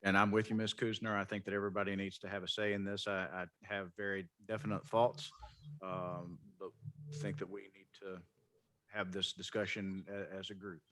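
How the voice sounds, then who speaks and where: A man chairing a city committee meeting, running motions and votes in a measured, procedural way. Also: low-pitched, level tone, a bit bright, no echo.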